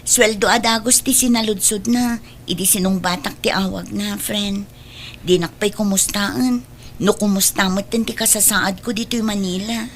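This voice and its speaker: A woman's voice: mid-range voice, fairly even intonation, moderate pace, a little hiss or hum, lightly acted. A woman playing a dutiful, self-sacrificing younger sister in an audio drama, speaking earnestly with an Ilocano accent. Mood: wistful.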